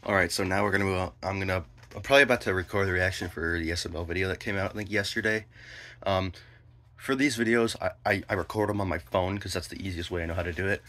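A man's voice: low voice, steady tone, quick pace, pushed and bright. A teenage male vlogger chatting casually in a laid-back, informal way into a handheld phone. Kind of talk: casual vlog chat. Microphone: phone at arm's length. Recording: noisy handheld phone recording.

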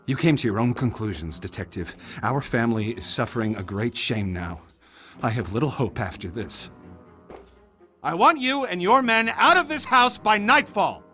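Terse politician dad in a video game, strained, lively pitch, faint music behind. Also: male voice, moderate pace. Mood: bleak, bitter. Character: strained senator and family patriarch, terse and commanding. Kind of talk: video game cutscene acting.

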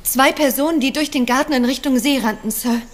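An actress playing a dutiful, polite young housemaid in a radio crime drama. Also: female voice, high-pitched, brisk. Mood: deferential.

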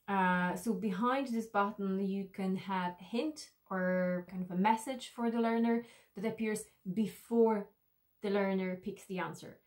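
A woman's voice: mid-range voice, a little mellow. A woman in her thirties narrating an instructional tutorial as an e-learning instructor, explaining things directly to the viewer.

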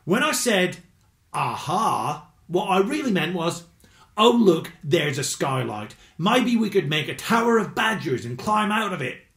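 A man's voice: high voice, sing-song, unhurried, fairly bright tone, roomy sound, pitched above the performer's own voice, full character voice. A man voicing an exasperated male badger leader, patient and strained.

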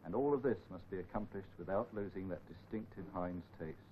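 A man's voice: low voice, brisk, mellow. A middle-aged man giving a scripted presentation as a company nutrition expert. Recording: dull old film sound, faint noise.

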